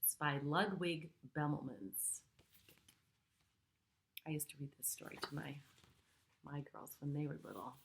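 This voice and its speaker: A woman's voice: sits low, lively intonation, measured pace, creaky, pauses often, rough recording. A middle-aged woman reads a picture book aloud to young children, adding simple explanatory asides. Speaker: preschool storyteller reading aloud.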